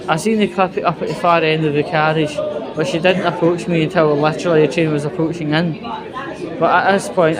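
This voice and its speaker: A Scottish man talks informally to his vlog audience while out on a walk. His voice sits a little high for a man and moves at a very slow, hesitant pace. Chatter and bustle can be clearly heard behind him. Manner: hesitant, halting, conversational. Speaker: vlogger. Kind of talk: informal walking vlog monologue. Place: out and about on a walk.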